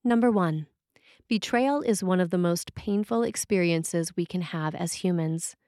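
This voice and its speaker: A woman reading a scripted sermon in a measured, reflective way. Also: lowish voice, darkish tone, no room sound, clean studio sound. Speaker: sermon reader.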